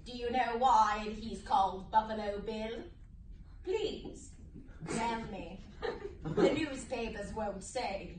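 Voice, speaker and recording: A roomy recording of a woman playing a high-pitched puppet snail acting as a cultured cannibal doctor. The delivery is slow, theatrical and condescending, taunting with every drawn-out phrase, and sits a little far from the mic. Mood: coolly mocking. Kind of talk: amateur dramatic reading with puppets. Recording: roomy, distant mic, faint noise.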